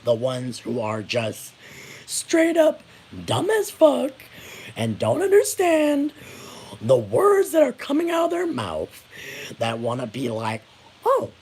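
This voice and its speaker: A woman's voice: high voice, warm tone, low-quality recording. A woman with an American accent giving a casual opinion rant to viewers, blunt and indignant, swearing freely. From United States. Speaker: vlogger.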